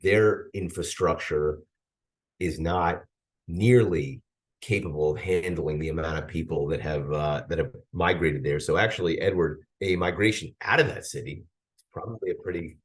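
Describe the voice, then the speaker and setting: A man's voice: low voice, moderate pace. A man co-hosting a podcast, speaking enthusiastically and emphatically in casual conversation.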